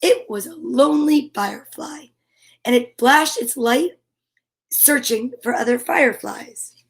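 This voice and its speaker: A woman's voice: high voice, unhurried, vocal fry. A woman hosting a children's storytime, reading a picture book aloud expressively with chatty asides. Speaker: storyteller and host.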